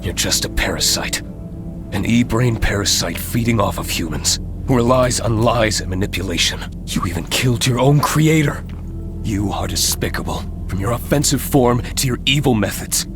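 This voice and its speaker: An actor playing an indignant hero in a video game, speaking in an accusing, scornful and earnest way. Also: male voice, lowish voice, expressive, moderate pace, lightly acted. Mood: disgusted, accusing. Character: indignant male hero confronting a villain. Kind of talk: dramatic video game cutscene acting. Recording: loud music under the voice.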